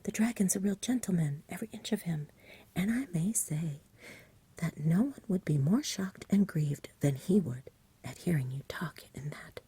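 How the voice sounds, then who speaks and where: A woman voicing an earnest, impatient young village boy in a soft, whispery ASMR storybook reading. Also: lowish voice, animated, normal speed, husky, no echo. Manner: earnest, pleading.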